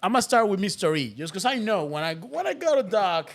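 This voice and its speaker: Man, podcast host, high voice, upbeat, casual, introduces topics. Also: very animated, fast talker, creaky. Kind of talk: casual chat.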